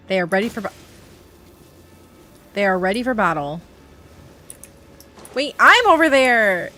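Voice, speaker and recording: Bright, very animated young woman streamer. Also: a little high, takes it slowly, long pauses, slight background noise. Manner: giggly, reactive, exclaiming. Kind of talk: casual live game reaction commentary. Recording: game music behind.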